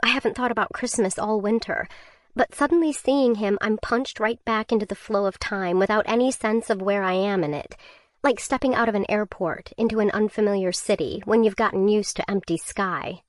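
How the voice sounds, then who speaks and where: A woman's voice: medium pitch, no room sound. Woman narrating a tense audiobook. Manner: tense, measured. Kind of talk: first-person audiobook narration.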